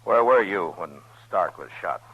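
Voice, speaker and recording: A man's voice: low voice, lively intonation, unhurried, breathy edge, full character voice. A man playing a stern, terse, watchful frontier marshal in an old-time radio western. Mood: stern, suspicious. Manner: terse, probing, unruffled. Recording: dull, slightly noisy old radio recording.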